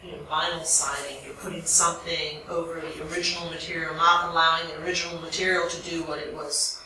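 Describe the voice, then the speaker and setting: An American woman in the audience asking a long, spontaneous question in a halting, deliberate way. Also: deep voice, flat delivery. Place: echoey lecture room. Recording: very echoey room, distant mic, faint noise.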